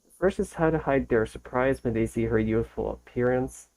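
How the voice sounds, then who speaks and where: A man with a mellow, relaxed tone and an average pitch hosts a casual reaction video. He reads text aloud and comments in an informal way. His reading is a bit halting, as he sometimes repeats a phrase to get it right. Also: poor audio.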